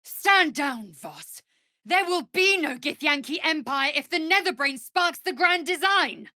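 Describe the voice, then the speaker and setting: A woman voicing a fierce, proud githyanki warrior woman in a video game, with a husky voice and clipped, imperious, formal speech. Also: sits high, animated, a little slow, sharp tone, no echo, studio quality, heavily acted. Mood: battle shouting. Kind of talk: video game character voice acting.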